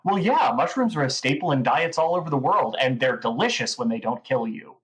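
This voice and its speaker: A woman's voice: extremely low pitch, animated, brisk. A woman playing a gushing, rambling gnome who is obsessed with mushrooms, in a comedic audio drama. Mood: giddy excitement. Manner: gushing, rambling, wide-eyed enthusiasm.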